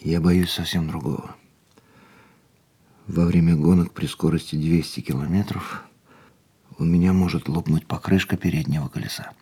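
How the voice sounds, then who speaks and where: An actor in a radio play playing a world-weary, reflective racing driver, speaking in a measured, literary way. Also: male voice, sits low, steady tone, warm tone, slightly rough. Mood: quiet, intimate. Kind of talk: literary radio drama acting, monologue and dialogue.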